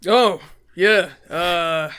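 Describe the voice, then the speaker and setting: A man's voice: high voice, drawn-out words, pushed and bright, smooth. A man chatting as a podcast guest in a playful, jokey way. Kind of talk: casual podcast chat.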